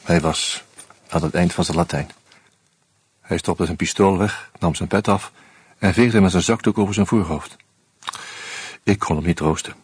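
A male narrator reading a first-person novel aloud in a very husky, breathy voice. His delivery is calm and measured, sitting low in pitch. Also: dry recording. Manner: calm, measured, subdued storytelling.

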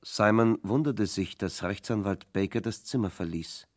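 A man narrating a German radio crime drama from a script in a measured, suspenseful manner. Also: lowish voice, a little hiss or hum, close to an everyday voice.

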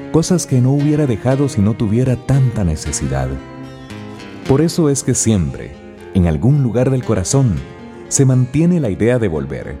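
A reflective narrator for a radio serial. His low voice moves expressively through wistful, poetic phrases over guitar music.

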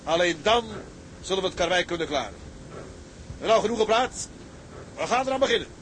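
A man's voice: high voice, expressive, a little fast, fairly bright tone, a little hiss or hum. An actor playing an earnest tugboat seaman in a radio drama. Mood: rousing, firm. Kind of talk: Dutch radio drama acting.

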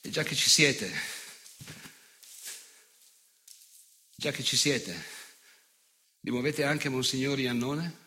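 An actor playing an unrepentant, nostalgic fascist in a theatrical monologue, sardonic and gloating, with emphatic pauses. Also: male voice, medium pitch, sharp tone. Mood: mocking anecdote. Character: unrepentant, nostalgic old Italian fascist recounting war crimes.

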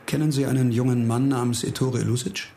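Brooding detective played by a man, haunted and ruminative, creaky delivery, middle register. Radio crime play. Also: mid-range voice, steady tone, a little fast, heavy background noise, natural voice. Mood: probing. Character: weary, brooding male police investigator, haunted by grief. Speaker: investigator. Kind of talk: German radio crime drama acting.

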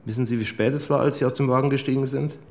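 An actor playing a controlled police lieutenant in a radio drama, putting persistent, matter-of-fact questions. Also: male voice, medium pitch, fairly even intonation, racing, vocal fry, a little mellow, slight background noise, natural voice. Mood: probing. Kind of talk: naturalistic radio drama acting.

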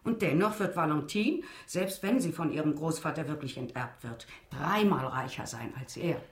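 A woman's voice: low-pitched, lively intonation, normal speed, echoey room. A woman in a German radio drama playing a genteel society wife and mother, polite, refined and mildly resentful. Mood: aggrieved.

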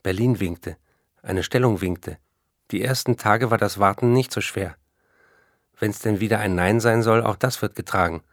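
Radio drama: male actor as an effusive, self-doubting male writer, low-pitched, dry close sound. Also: moderate pace, no room sound, natural voice. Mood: anxious hope. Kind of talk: radio play, reading letters aloud.

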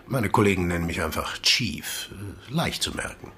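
A man playing the menacing chief of a secretive agency in a radio play, with a husky, breathy voice and a measured, politely condescending manner. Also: sits low, expressive, pushed and bright, full character voice. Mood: guarded, condescending.

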